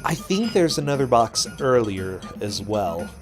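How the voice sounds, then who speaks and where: Animated man commentating a game race. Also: mid-range voice, measured pace. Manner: animated, chatty, laughs often. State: voice getting a little worn. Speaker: teacher and streamer. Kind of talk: casual speedrun commentary. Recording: music playing behind.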